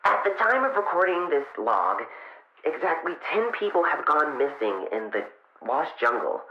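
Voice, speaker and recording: A man voicing an eager, nervous young fox boy inventor who records a log in an audio drama, chatty and halting. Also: on the high side, sing-song, echoey room, muffled recording, a little hiss or hum. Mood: earnest, explanatory. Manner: halting, self-interrupting, awkwardly chatty.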